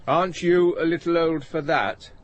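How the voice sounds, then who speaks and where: A man's voice: fairly high pitch, moderate pace, muffled recording, lightly acted. A man playing a stubborn, prickly, eccentric older man with a Northern English accent, terse and prim, in a naturalistic radio play. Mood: determined, defensive.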